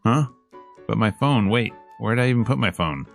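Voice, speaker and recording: A man voicing a flustered, wry young male visual novel protagonist in an expressive, conversational way. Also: lowish voice, normal speed, gravelly, faint music behind, voice pushed up. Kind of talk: dramatic reading of visual novel narration and dialogue.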